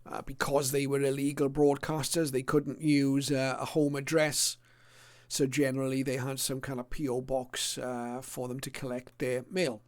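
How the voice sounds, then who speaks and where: A middle-aged or older man presenting a casual illustrated hobby talk in a hesitant, unscripted way with frequent pauses. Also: medium pitch, level tone.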